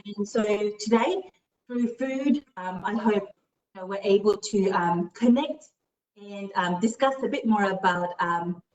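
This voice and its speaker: A woman's voice: medium pitch, unhurried, darkish tone, a little hiss or hum. Woman cooking in an echoey kitchen. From Australia. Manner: explanatory, with frequent "um" fillers. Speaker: cooking show presenter. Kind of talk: casual cooking demonstration. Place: home kitchen. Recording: roomy, distant mic.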